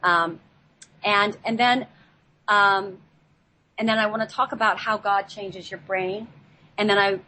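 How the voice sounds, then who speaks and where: A woman giving an unscripted spiritual talk as a guest, pausing often and repeating herself as she searches for words. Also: medium pitch, fairly even intonation, bright, forceful tone, rough recording. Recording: clear background noise.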